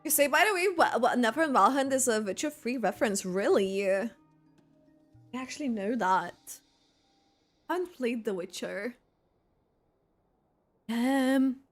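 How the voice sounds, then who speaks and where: A woman's voice: on the high side, expressive, measured pace, long pauses. A woman doing casual gaming commentary as she reacts to a video game. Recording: game music behind. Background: video game music.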